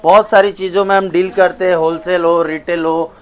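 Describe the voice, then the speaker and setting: A man in his thirties giving an emphatic sales pitch while walking through a large indoor saree showroom. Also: little pitch movement, brisk. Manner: emphatic, persuasive, gestures while talking. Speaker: saree wholesaler. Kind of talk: sales pitch in a shop interview.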